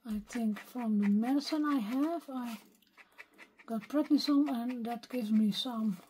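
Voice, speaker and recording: A Dutch woman speaking English, her voice weak and partly gone, softly thinking aloud as she works through a craft tutorial at her desk. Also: fairly high pitch, a little hiss or hum. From Netherlands. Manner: soft-spoken, unhurried, talks to herself while working. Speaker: craft video maker. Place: craft desk at home, filmed from overhead.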